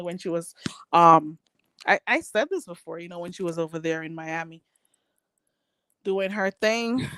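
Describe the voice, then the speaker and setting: A woman's voice: medium pitch, a bit bright, no room sound. A woman hosting a casual livestream, reading out chat comments and chatting in a rambling way with lots of fillers and frequent laughter.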